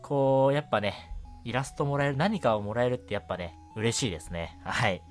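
A man hosting a casual, chatty night radio show in a relaxed, gently self-deprecating way. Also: medium pitch, a little fast, faint music behind.

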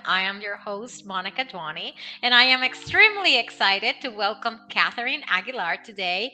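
A woman's voice: a little high, bright, forceful tone, poor audio. A woman hosting a podcast, enthusiastic and chatty as she welcomes her guest. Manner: bubbly, enthusiastic, chatty with fillers. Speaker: podcast host. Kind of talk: upbeat podcast interview.

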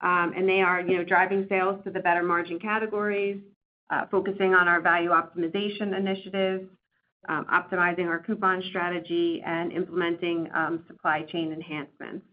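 Flat, low-voiced woman on a phone line. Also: a little fast. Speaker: company executive. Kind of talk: answering questions on an earnings call. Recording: tinny phone line, faint background noise.

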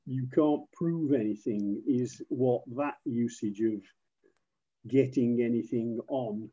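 A British-accented man speaks slowly and measuredly, with a dark, mellow tone. His voice comes through slightly muffled and lo-fi as he offers careful example sentences. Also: mid-range voice. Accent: British English. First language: English. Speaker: podcast guest, native speaker. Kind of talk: giving example sentences in a lesson.

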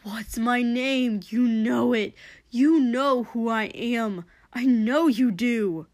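A woman's voice: fairly low pitch, slow pace, a little mellow. A woman voicing an earnest, frightened but defiant young man in a story reading, intense and insistent. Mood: demanding. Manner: intense, hissing and pleading. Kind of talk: dramatic audiobook-style character reading.

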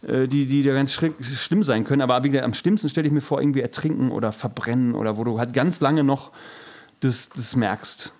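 A man's voice: mid-range voice, fairly quick, darkish tone. Casual, slangy man chatting. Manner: slangy, earnest, searching for words. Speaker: podcast co-host. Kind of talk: casual conversational chat.

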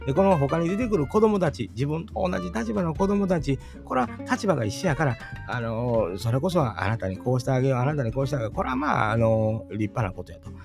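A man's voice: mid-range voice, very animated, fast talker, gravelly, hardly any pauses. A middle-aged man with a Kansai accent musing aloud about a fairy tale in a chatty way, often turning to his listeners with questions. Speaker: storytelling channel host. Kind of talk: casual spoken commentary on a fairy tale. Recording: music playing behind the voice.

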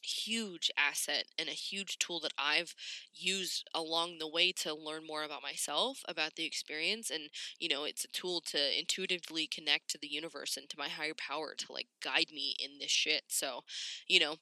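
A woman talks casually and frankly, as a podcast host would, with slang and swearing. Her voice is very bright and forward, with a slight rasp and the congestion of a cold. The sound is dry, with hardly any room on it. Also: low voice, steady tone, normal speed, no room sound, poor audio. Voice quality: slightly raspy. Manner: candid, informal, sweary, slangy. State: congested, getting over a cold. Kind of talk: casual solo podcast talk.

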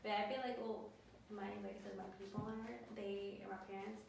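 A woman's voice: mid-range voice, flat delivery, moderate pace, gravelly, recorded from a distance, noisy background. Chatty woman, voice off mic in an echoey room. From Mexico. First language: Spanish. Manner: chatty, laughs easily. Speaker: medical worker. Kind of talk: casual chat.

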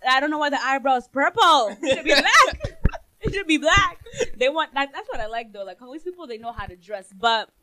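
A woman on a podcast chatting casually, laughing often as she tells animated stories. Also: sits high, a little fast, noisy background. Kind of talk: casual chat.

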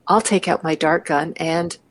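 Audio play accomplice, acted by a woman: scheming and practical, conspiratorial chat, slightly low pitch. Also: steady tone, moderate pace. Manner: conversational, conspiratorial. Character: scheming, bold, practical female partner in crime. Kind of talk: amateur audio drama dialogue.

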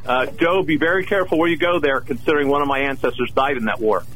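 A man's voice: medium pitch, fast, a bit bright, slight background noise, poor audio. A man calling in to a radio talk show, arguing combatively and stumbling over repeated words. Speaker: caller. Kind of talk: call-in radio argument.

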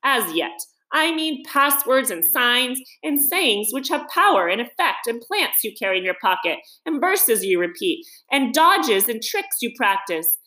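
Woman as a hearty storybook water rat, pitched up. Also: big pitch swings, a little slow, hardly any pauses, sharp tone, exaggerated voice. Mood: soothing, advising. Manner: soothing, chummy, cheerful. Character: kindly, brave male water rat, hearty and sensible. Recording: roomy, echoing reading.

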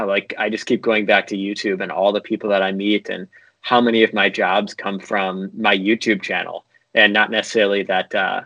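A man's voice: fairly low pitch, bright, forceful tone, dry recording, dull audio. A young man from North America, a video editor, talking casually and a bit ramblingly as an interview guest.

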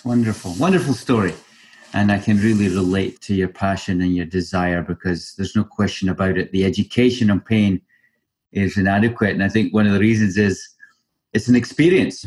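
A man's voice: on the low side, fairly even intonation, fairly quick, a little mellow. A man hosting a podcast interview, welcoming his guest and talking emphatically. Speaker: podcast host.

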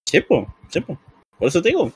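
A man's voice: a little high, wildly swooping pitch, a little fast, husky, no echo. A man with a Chilean accent chatting casually as a football commentator, teasing and jokingly exaggerating. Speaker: football commentator on a group broadcast. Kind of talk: casual football banter during a live match.

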